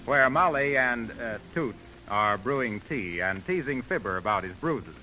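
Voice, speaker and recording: A man's voice: mid-range voice, animated, moderate pace, pushed and bright, faint music behind, poor audio. An American man announcing and reading advertising in the style of 1940s radio, on a vintage broadcast recording.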